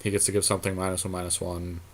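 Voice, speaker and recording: Male gaming podcast co-host, fairly low pitch, conversational, thinks aloud while commentating play. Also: flat delivery, racing, slight background noise. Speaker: podcast co-host commenting on a card game match.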